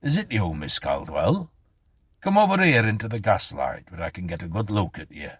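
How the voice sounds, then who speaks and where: A man voicing a kindly, weary policeman in a storybook, blunt and gently scolding. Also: on the low side, big pitch swings, moderate pace, slightly rough, no echo. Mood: concerned. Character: kindly, weary male policeman on night beat.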